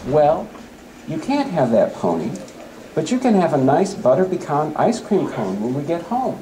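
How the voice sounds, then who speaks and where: A man's voice: fairly high pitch, huge pitch swings, normal speed, warm tone, recorded from a distance, pitched above the performer's own voice, poor audio. Man reading as a firm, dismissive storybook parent. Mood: firm refusal. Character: firm, weary parents refusing their daughter. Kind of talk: storybook poem read aloud. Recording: live event with faint room noise.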